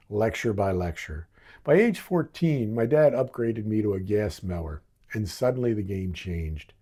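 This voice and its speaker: A man's voice: lowish voice, dark tone, husky. An American man in his sixties from Baltimore reads his own memoir as scripted narration, with wry, dramatic, punchy phrasing. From Baltimore, United States. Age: 60s. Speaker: author reading own memoir.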